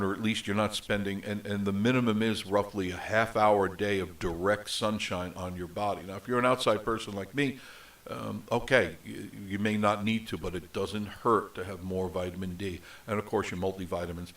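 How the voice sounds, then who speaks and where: Middle-aged male host, nasal, lowish voice, loose unscripted rambling, set back from the mic. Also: fairly bright tone, recorded from a distance. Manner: rambling, with many fillers and restarts. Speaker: host of a live travel show. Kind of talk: unscripted casual live chat.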